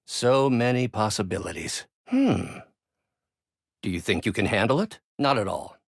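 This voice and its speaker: A man's voice: fairly low pitch, sing-song, unhurried, creaky, dry recording, studio quality, exaggerated voice. A male actor voicing a brilliant, bitter middle-aged scientist turned vengeful villain in a video game, with articulate, theatrical delivery. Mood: thoughtful. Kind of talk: dramatic video game acting, isolated voice lines.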